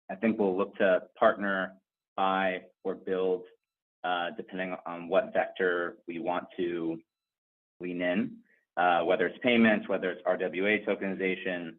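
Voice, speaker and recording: Man, deep pitch, company executive, unscripted conference-call answers, thin telephone sound. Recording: narrow, phone-like conference call line.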